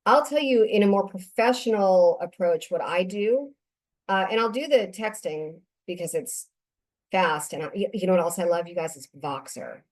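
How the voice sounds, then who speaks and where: A woman's voice: on the low side, normal speed, darkish tone. A woman hosting a casual podcast interview, talking in a conversational, affirming way. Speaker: podcast host.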